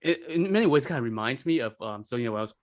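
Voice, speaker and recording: Man from China, mid-range voice, podcast panelist, halting, tentative, casual. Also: medium pitch, expressive. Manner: halting, tentative, filler-heavy.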